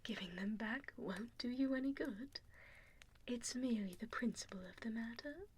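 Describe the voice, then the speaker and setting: A woman voicing an evil, possessive female vampire in a breathy ASMR whisper, deliberate and mockingly polite, speaking close into a binaural mic. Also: a little high, close to an everyday voice. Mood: cold, gloating. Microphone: binaural ear microphone, close up. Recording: close binaural mic with audible background noise.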